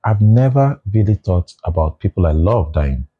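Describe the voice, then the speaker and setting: A man's voice: deep voice, lively intonation, normal speed, slightly rough, phone-quality sound. A Nigerian man in his forties with a Nigerian English accent, speaking as an interview guest and reflecting aloud in casual conversation.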